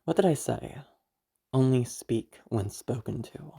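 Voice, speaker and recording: Woman playing a gravelly, controlling, sanctimonious mother. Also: extremely low pitch, warm tone, exaggerated voice. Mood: scornful. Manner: condescending, slow deliberate taunting with hums. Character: controlling, sanctimonious, menacing mother of a grown daughter. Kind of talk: amateur audio roleplay drama.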